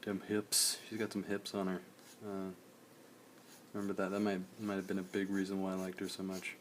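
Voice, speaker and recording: A young man, slightly hoarse, chatting casually in a relaxed, rambling way while he streams a drawing session. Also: deep voice, fairly quick, slight background noise. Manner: relaxed, rambling, many fillers.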